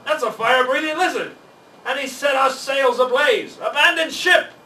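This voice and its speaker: A man's voice: extremely high pitch, moderate pace, pushed and bright, pitched above the performer's own voice. A man voicing a stubborn, greedy pirate captain who exclaims in pirate talk, from a children's storybook. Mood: alarmed. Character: stubborn, greedy, grumbling male pirate captain hungry for gold. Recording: roomy, distant mic, faint noise.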